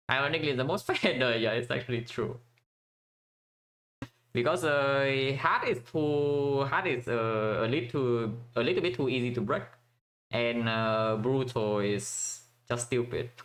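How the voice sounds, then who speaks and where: A man's voice: medium pitch, unhurried, fairly bright tone. A man from Vietnam giving casual commentary while playing a strategy game, thinking aloud with many fillers and restarts.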